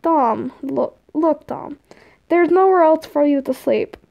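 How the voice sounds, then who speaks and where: A woman's voice: sits high, slow pace, creaky, warm tone, exaggerated voice. A woman voicing an exasperated, teasing man looking after a boy in a story, scolding and bargaining wearily. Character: exasperated, teasing, busy male guardian of a boy.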